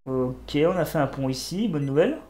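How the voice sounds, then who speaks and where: A man's voice: on the high side, animated, normal speed, darkish tone, reverberant, faint music behind. A man with a cold commenting casually on a video game as he plays, joking and talking to himself and the characters. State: has a cold. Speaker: gaming YouTuber. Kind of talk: casual let's-play commentary.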